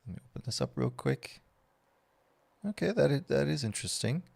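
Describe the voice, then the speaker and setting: A man, chatting casually on a livestream and answering viewers' questions while he works, relaxed and rambling with lots of fillers and restarts. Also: mid-range voice, a little fast, gravelly, breathy edge. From Belgium. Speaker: streamer answering chat.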